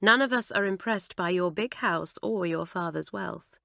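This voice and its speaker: A woman's voice: on the low side, moderate pace, gravelly, bright, forceful tone, no echo, studio quality. A woman narrator voicing a sensible head girl speaking coolly and reprovingly. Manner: cool, reproving. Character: sensible, stern schoolgirl head of form.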